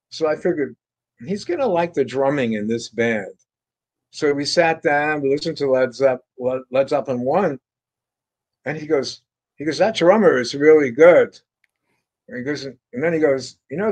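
Older guy from france on a video call, rambly storytelling, lots of you knows, middle-pitched voice. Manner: rambling, many fillers and restarts. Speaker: guest guitarist. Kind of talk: casual interview storytelling. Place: home room with bookshelves.

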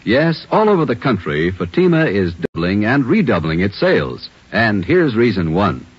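Booming deep-voiced old radio announcer. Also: male voice, sing-song, moderate pace, slightly rough. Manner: booming, emphatic. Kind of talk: vintage radio announcing and ad reading. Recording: dull vintage radio broadcast with music.

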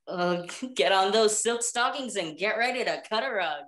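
An actor playing a jive-talking 1940s radio announcer with drawn-out showbiz patter. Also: male voice, sits high, expressive, fairly bright tone, roomy sound. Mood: showbiz cool.

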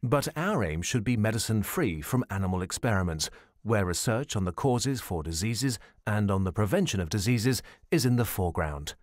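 A man reading scripted documentary narration in a measured, formal and serious way, as a studio voice-over. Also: lowish voice, animated, no echo.

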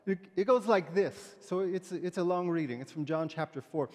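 A middle-aged man speaks from a pulpit in a notably high voice for a man. He preaches and reads in a gentle, conversational, slightly halting way, with the long reverb of a large church around him. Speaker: pastor. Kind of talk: sermon with poem and scripture readings, conversational.

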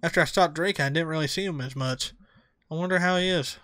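A man reading the lines of a gentle, corny middle-aged cat father in a video game. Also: fairly high pitch, fast, no echo. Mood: fatherly.

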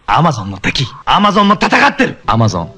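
An earnest young man raised in the jungle, the hero of a 1970s tokusatsu series. His voice is bright and forceful, with highly animated pitch. He talks in terse, halting fragments with dramatic intensity. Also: husky, faint music behind, heavily acted. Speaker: actor in a superhero TV series.

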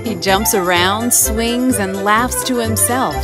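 A woman narrating an animated children's story in a clear, even storytelling manner. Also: medium pitch, slow pace. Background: music.

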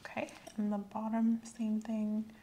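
A young woman speaking softly in a gentle, measured, instructional way as a medical examiner in an ASMR roleplay. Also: medium pitch, completely flat delivery, a little hiss or hum. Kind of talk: soft-spoken ASMR clinical roleplay. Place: small room set up as an exam office.

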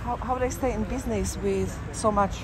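A woman's voice: medium pitch, fairly even intonation, normal speed. Chatty woman vlogging on a busy street. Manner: chatty, musing aloud with restarts. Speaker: walking tour vlogger. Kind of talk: casual walk-and-talk commentary. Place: busy shopping street outdoors. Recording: outdoor street noise. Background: loud traffic and street noise.

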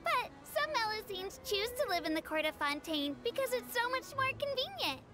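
A woman's voice: very high-pitched, sing-song, moderate pace, music in the background. A woman voicing a cheerful young female creature who works as a bus guide, speaking eagerly and politely.

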